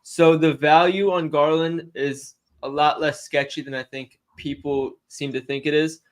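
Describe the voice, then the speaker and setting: A young man co-hosting a casual sports betting livestream, chatty and quick to laugh while he answers questions from the chat. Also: fairly high pitch, steady tone. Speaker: sports betting stream co-host.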